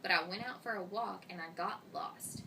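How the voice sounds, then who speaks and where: Storybook new girl voiced by a woman, defensive and blunt, creaky, distant mic. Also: mid-range voice, normal speed, lightly acted. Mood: guarded. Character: prickly, lonely new schoolgirl. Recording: distant mic, loud noise.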